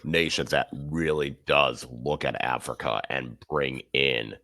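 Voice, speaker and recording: A man co-hosting a podcast, giving calm, measured football analysis in casual chat. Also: a bass voice, no room sound, studio quality. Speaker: podcast co-host.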